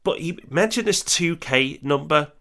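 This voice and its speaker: A man's voice: fairly high pitch, expressive, measured pace, creaky, a bit bright. A man co-hosting a podcast, introducing guests in an upbeat, encouraging way. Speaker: podcast co-host. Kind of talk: scripted podcast narration.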